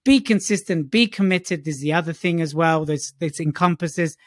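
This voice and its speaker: A man's voice: fairly high pitch, normal speed, no room sound, studio quality. A man co-hosting a motivational talk show, summarizing tips and steering the conversation in an upbeat, affectionate way. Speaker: podcast co-host.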